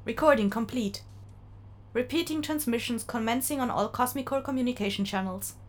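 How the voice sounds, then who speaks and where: A woman's voice: fairly high pitch, fairly quick, slight background noise, lightly acted. A woman voicing an obedient moonbase computer in an audio drama, precise, impersonal and formal. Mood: neutral, obedient. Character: obedient, neutral female computer assistant of moonbase.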